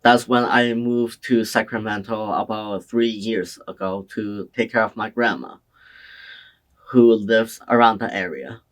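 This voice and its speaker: A young man from China answers interview questions about his life. His voice sits a little low, and his delivery is slow and soft-spoken, with frequent pauses and restarts. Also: fairly even intonation. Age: young adult. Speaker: interviewee, community college student. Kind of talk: oral history interview answers.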